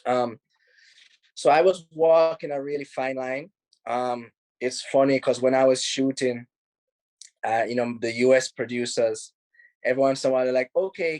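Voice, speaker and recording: Jamaican man, film director on a video call, easygoing and chatty, mid-pitched, dry sound with no room. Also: dry recording. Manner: relaxed, rambling, many "you know"s, laughs easily. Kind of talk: casual interview answers. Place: home in Los Angeles.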